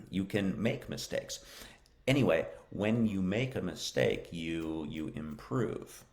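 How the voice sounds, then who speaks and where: An American man teaching English in a live-stream lesson, answering viewer questions in a slow, encouraging way and repeating key phrases. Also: low voice, expressive. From United States.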